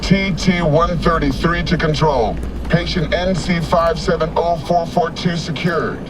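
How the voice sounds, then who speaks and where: Loud vehicle noise surrounds a fairly high male voice from an actor as an armed trauma medic in a video game. He reports in clipped, detached, procedural phrases. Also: unhurried, natural voice. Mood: stern, clinical. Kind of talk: cinematic video game acting.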